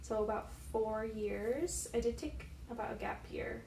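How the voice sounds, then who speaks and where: A student in her early twenties speaks in a relaxed, plainspoken way. Her voice has a gravelly fry. She sounds distant from the mic, with room echo around her. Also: on the high side, unhurried, slight background noise. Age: 20s. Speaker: student interviewee. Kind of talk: casual chat.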